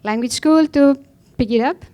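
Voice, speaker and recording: Clear-voiced woman with an Estonian accent. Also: high-pitched, moderate pace, dark tone. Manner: blunt, informal, non-native phrasing. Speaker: panel guest, professional snowboarder. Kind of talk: conversational personal account on a panel.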